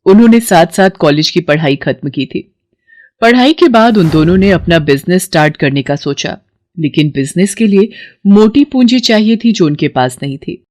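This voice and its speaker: A woman's voice: lowish voice, animated, normal speed, a little mellow, dry recording. A woman narrator telling a scripted story in a dramatic storytelling style.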